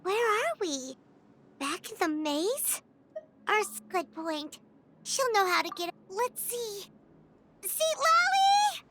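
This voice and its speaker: A woman voicing a tiny, childlike, excitable fairy companion in a video game, squeaky and exclamatory. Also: slow pace, long pauses, full character voice. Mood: puzzled, worried. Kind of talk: animated video game voice acting. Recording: background music under the voice.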